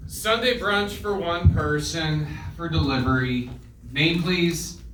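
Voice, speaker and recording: A man's voice: a little high, slow pace. A man playing a chatty, jokey delivery guy in his thirties at a live comedy table read. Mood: chirpy, awkward. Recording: echoey room, distant mic, noisy background.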